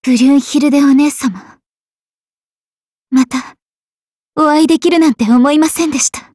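A woman playing a calm, dutiful valkyrie in an anime game, composed and formal. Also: high voice, normal speed, no echo, clean studio sound, lightly acted. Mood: moved. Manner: composed, polite, military formality. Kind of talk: anime mobile game voice acting.

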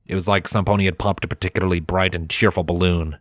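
A man reading scripted fiction as a narrator, measured and expressive in his storytelling. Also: low-pitched, no room sound, clean studio sound. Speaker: narrator of a fan fiction audiobook. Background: music.

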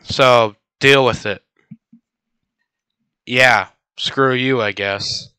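A man's voice: medium pitch, fairly even intonation, extremely slow, clear, resonant tone, dry recording. A teenage boy with a congested voice, giving sarcastic, casual commentary while playing a video game and coughing now and then. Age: teens. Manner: sarcastic, mock-grumpy banter. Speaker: gaming YouTuber. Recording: game music playing loudly behind.

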